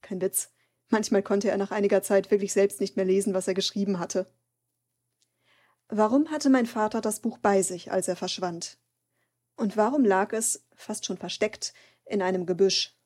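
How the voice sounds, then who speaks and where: A woman's voice: mid-range voice, steady tone, normal speed, darkish tone, lightly acted. A woman playing an earnest, searching daughter, narrating in a scripted German audio drama. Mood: earnest, pensive.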